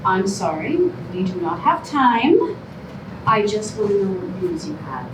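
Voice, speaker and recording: A woman's voice: medium pitch, big pitch swings, measured pace. A woman playing an earnest, pleading contestant with careful, formal phrasing in a staged comedy reading. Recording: roomy, distant, noisy live stage recording.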